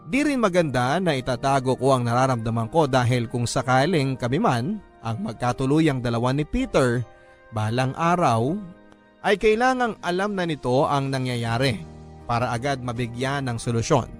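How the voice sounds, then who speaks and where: A man with a Filipino accent reading a letter as dramatic storytelling narration. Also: mid-range voice. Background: background music.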